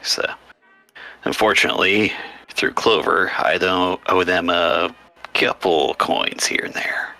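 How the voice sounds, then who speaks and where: A man playing a tabletop game, speaking in character with many pauses and fillers. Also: sits low, fairly even intonation, creaky, sharp tone, faint music behind. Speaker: player.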